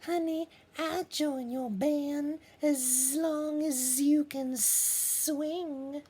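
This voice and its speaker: A man's voice: very high-pitched, fairly even intonation, very slow, dark tone, pitched above the performer's own voice, heavily acted. A man voicing a sassy, confident female bee who sings jazz in a storybook.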